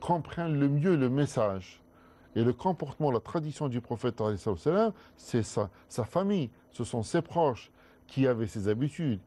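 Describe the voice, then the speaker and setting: A man, a guest scholar in a studio discussion, explaining at length in a measured way with frequent restarts. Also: medium pitch, expressive, dark tone.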